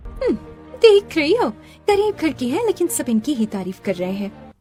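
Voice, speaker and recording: A woman's voice: high-pitched, sing-song, normal speed, warm tone, faint music behind. A woman voicing a snobbish, jealous young sister-in-law in a Hindi cartoon story, sulky and disdainful.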